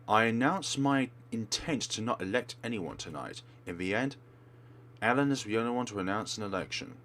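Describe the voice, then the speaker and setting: Low-voiced man narrating with animated pitch. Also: moderate pace, a little hiss or hum. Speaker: let's play narrator. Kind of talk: reading a visual novel aloud. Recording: game music behind voice.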